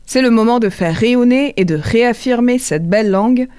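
A woman reporter, reading a scripted news segment in a steady, clear way. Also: fairly high pitch, moderate pace.

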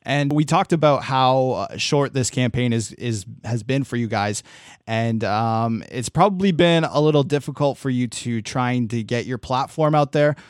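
A man's voice: mid-range voice, normal speed, clear, resonant tone, dry recording, studio quality. A man hosting a radio interview, asking questions with hesitant uh fillers and repeated words.

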